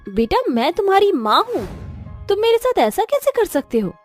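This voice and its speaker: A woman's voice: extremely high pitch, big pitch swings, normal speed, soft background music. A woman voicing an emphatic, scheming daughter-in-law in a cartoon moral story. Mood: hurt, pleading. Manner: theatrical, emphatic. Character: scheming, cruel daughter-in-law, later a desperate, remorseful mother. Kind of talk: animated moral-story voice acting.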